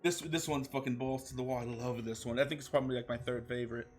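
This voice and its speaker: Guy on a stream chatting and reading silly stories, sweary and irreverent, mid pitch, music playing. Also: fairly even intonation, fast talker. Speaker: streamer, fan fiction reader. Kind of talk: casual livestream chat and comic story reading.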